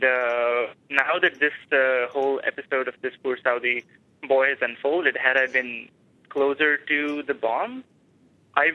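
A man's voice: mid-range voice, no echo. Young Pakistani man on a phone line. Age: 20s. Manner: halting, many restarts and fillers. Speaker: caller, medical resident. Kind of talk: phone-in radio talk. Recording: tinny dry phone line.

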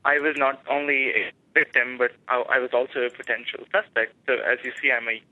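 A young man with a Pakistani accent talks haltingly over a tinny phone line, restarting his sentences as he goes. His voice is mid-pitched and sounds dry and close, with no room around it. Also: steady tone, no room sound. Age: 20s. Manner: halting, many restarts and fillers. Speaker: caller, medical resident. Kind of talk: phone-in radio talk.

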